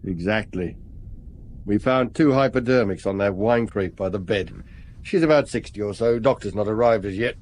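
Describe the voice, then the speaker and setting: An actor playing a brisk, matter-of-fact local police officer in a radio drama, businesslike with a wry edge. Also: male voice, lowish voice, darkish tone, close to an everyday voice.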